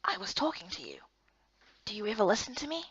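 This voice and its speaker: Woman voicing a hushed, teasing young male adventurer. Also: mid-range voice, wildly swooping pitch, fast, husky, muffled recording, heavily acted. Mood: teasing, reproachful. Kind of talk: visual novel game character dub.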